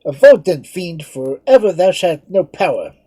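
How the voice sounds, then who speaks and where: A man voicing a proud, desperate young nobleman who declaims loudly and passionately with a breathy voice. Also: sits high, very animated, normal speed, dry recording, pitched above the performer's own voice. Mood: defiant. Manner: loud, declamatory and impassioned.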